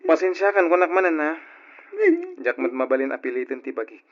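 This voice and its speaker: A man with an Ilocano Filipino accent playing a smooth-talking, callous philanderer in a radio drama. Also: on the high side, brisk, natural voice. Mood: cold, irritated. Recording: dull old radio drama recording.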